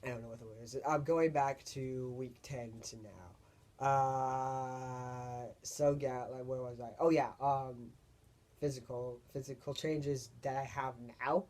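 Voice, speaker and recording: A young man with a deep voice, talking casually to camera in a rambling, hesitant way as he searches for words. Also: fairly even intonation, a little slow, a little mellow. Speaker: vlogger. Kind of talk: casual personal vlog monologue.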